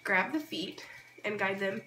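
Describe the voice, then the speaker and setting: Female host for an informal how-to demo, hoarse and under the weather, explaining step by step, picked up from a distance in a noisy space. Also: mid-range voice, measured pace. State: hoarse from being sick. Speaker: babywearing tutorial host. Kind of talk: casual hands-on tutorial. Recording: roomy, distant mic, noisy background.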